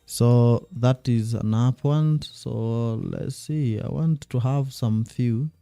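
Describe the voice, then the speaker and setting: A man streaming live trading commentary, thinking aloud and repeating himself while he watches the charts, his voice going a little hoarse. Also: mid-range voice, slow pace, dark tone, no room sound, soft background music. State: losing his voice.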